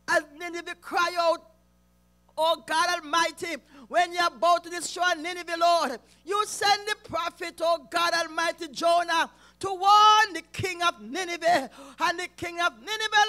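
A man leading an impassioned intercessory prayer, calling out to God again and again, often close to shouting. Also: very high voice. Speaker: prayer leader.

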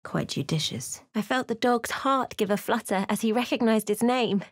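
An actress voicing a brooding, watchful female adventurer in a fantasy video game, telling the tale in a measured, hushed, dramatic way. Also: on the high side, animated, dry recording, studio quality. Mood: solemn, watchful. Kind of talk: dramatic narrated game voice lines.

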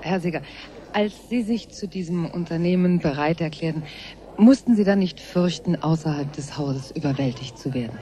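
A woman's voice: on the low side, moderate pace, dark tone, close to an everyday voice. A woman playing a polite, eager live reporter in a radio drama, speaking formally over noisy background ambience. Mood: polite, hurried. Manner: formal, courteous.

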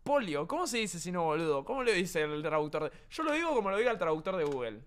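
Brash young Argentine man, high voice. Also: sing-song, fast, smooth. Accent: Argentine Spanish. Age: young adult. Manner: brash, swears a lot, joking. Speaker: streamer. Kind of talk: casual livestream chat. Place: home streaming room with green screen.

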